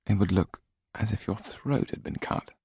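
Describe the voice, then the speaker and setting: A man voicing a courteous, thoughtful gentleman in an audiobook, husky and deep, speaking as a concerned friend in a genteel, confiding manner. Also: normal speed, no room sound, studio quality. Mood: thoughtful, grave. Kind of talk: audiobook character acting.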